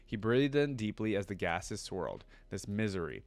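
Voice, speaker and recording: A man voicing a cruel, hulking half-machine monster from a story, commanding and taunting in a deliberate way. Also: on the low side, very animated, darkish tone, dry recording.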